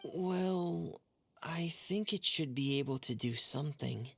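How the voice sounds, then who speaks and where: Serious, gentle young man for a video game; hesitant, unhurried delivery with some vocal fry. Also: a little high, soft background music, full character voice. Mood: quietly hopeful. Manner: hesitant, careful, polite. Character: gentle, reserved, soft-spoken young man, serious and caring.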